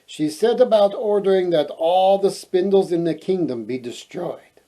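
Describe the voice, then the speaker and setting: A man reading a storybook aloud as host, expressive and playful, often wandering into casual chatty asides. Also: high voice, normal speed.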